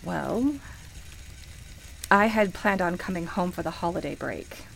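A woman's voice: fairly low pitch, animated, measured pace, vocal fry, fairly bright tone, slight background noise, exaggerated voice, rough recording. An actress in a radio play playing a sincere, wistful woman who reminisces hesitantly about her life. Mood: sad, guilty. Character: wistful woman grieving her beloved grandmother at Christmas. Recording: music playing behind the voice. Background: music.

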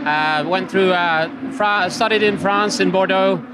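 A man from Finland with a Finnish accent, answering interview questions hesitantly and with many filler sounds, outdoors at a loud racetrack. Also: high-pitched, steady tone, measured pace. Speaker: interview guest, company executive. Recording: noisy outdoor racetrack recording.

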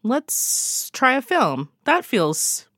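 A woman's voice: sits high, big pitch swings, drawn-out words, fairly bright tone, no echo, studio quality. A woman recommending events as a guest, chatty, often saying "like" and "you know". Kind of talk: casual chat.

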